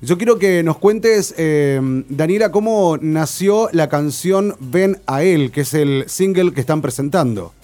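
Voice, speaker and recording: A man's voice: on the high side, a little slow, hardly any pauses, faint music behind. Male radio host. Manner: relaxed, filler-heavy questioning with many "eh" and "ujú". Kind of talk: radio interview.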